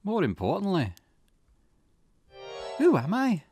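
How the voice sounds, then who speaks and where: A man's voice: high-pitched, wildly swooping pitch, slow pace, darkish tone, faint music behind, voice pushed up, full character voice. A man voicing a confused, dramatic Scottish woman who has lost her memory, in a video game. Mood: alarmed, confused. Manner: bewildered, questioning, dramatic. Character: confused amnesiac woman waking in pain, visual novel protagonist.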